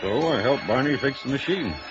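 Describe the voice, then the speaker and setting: A man's voice: mid-range voice, expressive, a little fast, natural voice. An American actor playing a folksy, easygoing arcade handyman in an old radio drama, homespun and a little hesitant. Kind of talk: 1950s radio drama acting. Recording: dull vintage radio recording with music.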